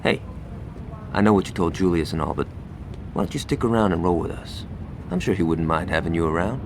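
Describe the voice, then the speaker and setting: An actor playing a crude, cocky young gangster in a video game, blunt and menacing. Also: male voice, low voice, animated, brisk, noisy background. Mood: casual, cocky.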